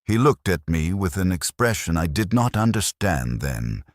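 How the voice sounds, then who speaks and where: An extremely deep male voice, recorded very dry and close. An actor plays a weary imperial clerk looking back on a war, telling his story in a somber, measured way with plenty of movement in the pitch. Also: sing-song, no room sound. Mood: somber, reflective. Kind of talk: scripted first-person story narration.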